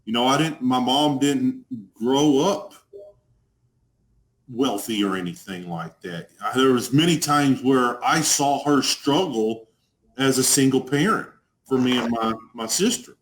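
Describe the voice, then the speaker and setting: A man's voice: medium pitch, expressive, measured pace, poor audio. A man in his forties from Dallas with a strong Texas accent, telling his recovery story as a guest on a video call, earnest and plain-spoken, often repeating a word as he starts. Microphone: video call webcam.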